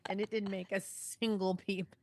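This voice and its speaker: A woman's voice: mid-range voice, flat delivery, normal speed, darkish tone. A woman co-hosting a podcast, chatting casually and acting out what people said. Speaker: podcast co-host. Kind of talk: casual chat with acted-out quotes.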